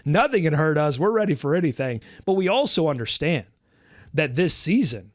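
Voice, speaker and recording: Upbeat, high-voiced sports podcast man. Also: moderate pace, no room sound, clean studio sound. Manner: animated, rambling with restarts, playfully dramatic. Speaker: podcast host. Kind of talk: casual sports talk.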